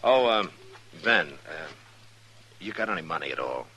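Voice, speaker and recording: A frontier marshal in an old radio western, easygoing yet watchful. His voice sits low and has a very sharp, forceful edge. He talks in a halting, understated way. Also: very animated, a little hiss or hum, full character voice. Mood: kindly. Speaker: lead actor and narrator. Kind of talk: 1950s radio western acting.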